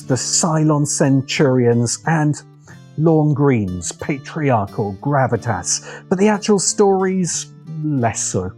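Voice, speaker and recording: A man narrating a scripted video essay as host, in a deliberate, wry, opinionated manner with dramatic pauses and emphasis. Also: fairly high pitch, a little mellow.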